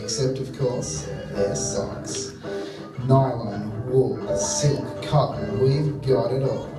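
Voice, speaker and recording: A man's voice: mid-range voice, fairly even intonation, natural voice. A man playing a suggestive male club advertiser in a spoof radio advert, drawing out his words to woo ladies. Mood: sultry, teasing. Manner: drawn-out, suggestive. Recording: echoey theatre, distant mic, music behind.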